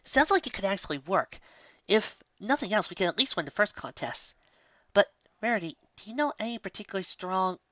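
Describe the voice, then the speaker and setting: A woman's voice: mid-range voice, animated, fairly quick, fairly bright tone, no room sound, highs cut off, voice pushed up, lightly acted. A woman voicing an earnest, practical young female pony, reasoning things out in a storybook reading. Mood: thoughtful. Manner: reasoning, questioning.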